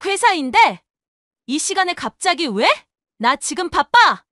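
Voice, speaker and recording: A woman's voice: extremely high pitch, big pitch swings, measured pace, sharp tone, dry recording, clean studio sound, heavily acted. A woman playing a brazen, snippy young daughter-in-law who justifies herself with scorn in an audio drama. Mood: curt. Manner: curt, snippy, sarcastic.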